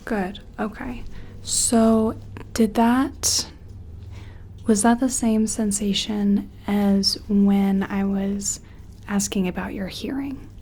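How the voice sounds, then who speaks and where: The woman you'd hear in a late-night ASMR exam roleplay, gentle and unhurried, mellow, explaining each step tentatively over a faint hiss. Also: mid-range voice, steady tone. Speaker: ASMR performer playing a sci-fi examiner. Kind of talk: soft-spoken and whispered ASMR roleplay.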